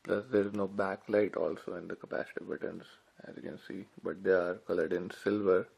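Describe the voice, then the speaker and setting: A man's voice: low-pitched, flat delivery, brisk, creaky, a little mellow, slightly rough. A man giving casual, informal unboxing commentary as a tech reviewer, talking directly to viewers. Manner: informal, addresses viewers as guys.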